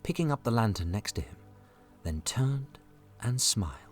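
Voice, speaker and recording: A man's voice: medium pitch, big pitch swings, normal speed. A man narrating a family audio adventure in a scripted storybook style. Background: music under the voice.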